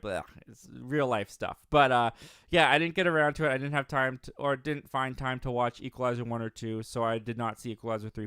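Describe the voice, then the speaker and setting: A man's voice: mid-range voice, a bit bright, clean tone, no echo, clean studio sound. A young man hosting a live movie stream, reading viewer comments aloud in an upbeat, rambling way with many restarts. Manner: upbeat, rambling, frequent restarts and self-corrections.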